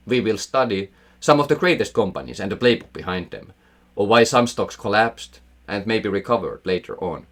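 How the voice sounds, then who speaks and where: Finnish-accented man, lively low voice. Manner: enthusiastic, emphatic, self-mocking asides. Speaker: investing channel host. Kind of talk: scripted explainer narration.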